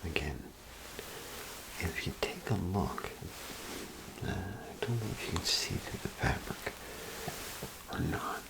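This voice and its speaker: A man doing an ASMR role play as a clothing salesman, with gentle, hesitant, unhurried patter. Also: low-pitched, expressive, creaky, rough recording.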